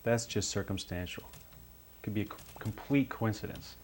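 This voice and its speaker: An actor playing an evasive, defensive son under police questioning, halting and defiant, in a naturalistic film drama. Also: male voice, fairly low pitch, a little mellow, slight background noise, lightly acted. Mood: doubting, insistent.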